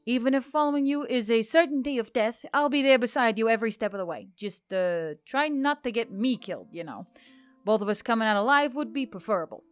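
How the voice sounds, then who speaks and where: A woman's voice: a little high, expressive, a little fast, clear, resonant tone, no room sound, soft background music, lightly acted. A woman voicing a devoted female centaur friend with a chatty, rambling manner, in an audiobook story. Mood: earnest, supportive.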